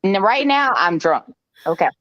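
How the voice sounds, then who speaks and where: A woman's voice: medium pitch, sing-song, normal speed, a bit bright, no echo. A woman hosting a live stream, telling a personal story in a chatty, joking way, with frequent "okay" asides. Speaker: host. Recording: dull lo-fi stream audio.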